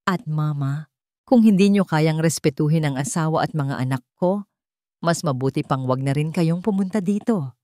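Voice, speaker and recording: Woman acting a wealthy husband, commanding, protective, firm, low voice, audio romance drama. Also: moderate pace, dark tone, no echo, studio quality, lightly acted. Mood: stern anger. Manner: firm, menacing authority. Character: protective, commanding rich businessman, husband and father.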